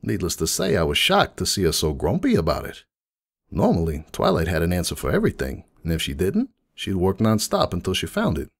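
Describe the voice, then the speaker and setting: Man acting a folksy, gravelly, low-voiced donut shop unicorn. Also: wildly swooping pitch, brisk, heavily acted. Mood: fond, reminiscing. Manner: folksy, chatty, reminiscing storyteller. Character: friendly middle-aged male unicorn donut shop owner, proud, nostalgic. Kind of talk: dramatic fan fiction reading in character.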